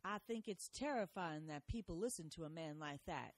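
An actress playing a prim, old-fashioned older wife. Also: female voice, low-pitched, expressive, brisk, no room sound. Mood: fond.

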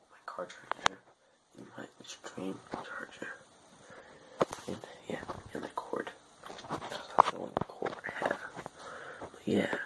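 A man's voice: sits low, creaky. A young man vlogging in casual chat on a noisy handheld phone recording.

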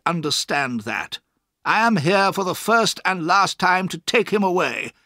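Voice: mid-range voice, bright, forceful tone, no room sound, studio quality, pitched above the performer's own voice. A narrator voicing a stern, self-righteous man, a villainous stepfather in a storybook reading, formal and controlling in manner.

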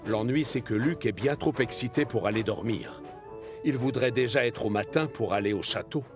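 A man narrates a children's cartoon in the present tense, as a scripted storyteller. His voice sits at a middle pitch, and music plays clearly behind him. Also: moderate pace.

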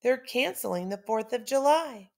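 A fussy, bossy male pigeon from a storybook , put on by a woman. He is anxious and urgent, pushing each point with big swings in pitch. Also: lively intonation, normal speed, gravelly. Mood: exasperated. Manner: urgent, emphatic, pushy.

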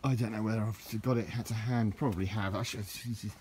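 A middle-aged man with a low voice, rambling through a casual hands-on garden demonstration with lots of restarts. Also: fairly even intonation, a little fast, darkish tone, slight background noise. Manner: rambling, with many restarts and fillers. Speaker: DIY vlogger. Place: outdoors in a garden.

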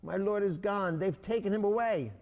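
A man's voice: sits high, normal speed, long reverb tail, lo-fi sound, pitched above the performer's own voice. A man voicing a grieving woman disciple in a rhyming storybook read aloud. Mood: sorrowful. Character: grieving then joyful woman disciple, Mary.

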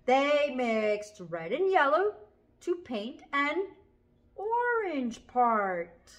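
A woman's voice: high voice, very animated, drawn-out words. A woman in middle adulthood reading a picture book to children expressively, with dramatic emphasis and prompting questions. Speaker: children's storyteller with a puppet. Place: small furnished indoor room.

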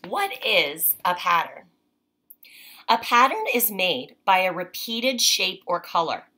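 Bright-voiced woman teaching children. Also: lowish voice, sing-song, takes it slowly. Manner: simple explanatory phrasing with frequent check-in tags. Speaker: mother and teacher reading aloud for children. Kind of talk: children's read-aloud lesson. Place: indoors, small room at home.

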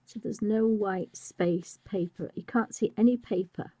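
A woman talks through her colouring step by step in a soft, musing way, her words often fading to a whisper. Her voice is mellow and husky, a little croaky from a chest infection. She sounds like an art tutor streaming a live lesson. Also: medium pitch, measured pace, no echo.

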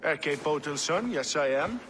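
An actor playing a gruff, suspicious medieval reeve in a video game, curt and sardonic. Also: male voice, fairly high pitch, expressive, unhurried, fairly bright tone. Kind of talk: dramatic video game cutscene acting. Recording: reverberant stone hall, faint noise.

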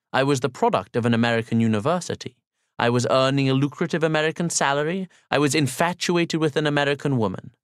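A man playing a courteous young Pakistani man who confesses his story in formal, measured audiobook narration with deliberate pauses. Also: mid-range voice, no echo, studio quality, lightly acted. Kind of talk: first-person audiobook narration as dramatic monologue.

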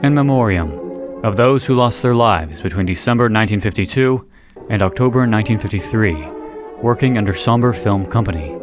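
A man reading scripted analysis narration in a measured, deliberate way with dramatic pauses. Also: on the low side, expressive, over music. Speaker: video essay narrator.

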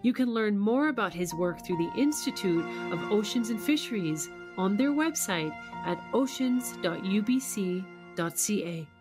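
A woman hosting a radio interview show, speaking to guest and listeners. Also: mid-range voice. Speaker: radio host.

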